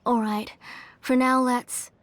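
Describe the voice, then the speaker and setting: Gentle motherly game aunt, slightly high. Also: little pitch movement, slow, no room sound. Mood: welcoming. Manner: gentle, hospitable. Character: gentle, weary motherly aunt, retired craftswoman. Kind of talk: video game character acting. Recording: game music behind the voice.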